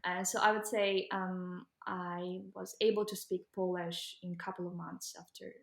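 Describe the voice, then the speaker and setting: A young Ukrainian woman with a Ukrainian accent, answering interview questions casually with many pauses and ums. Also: lowish voice, level tone, reverberant. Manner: hesitant, many ums, laughs while talking. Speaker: guest.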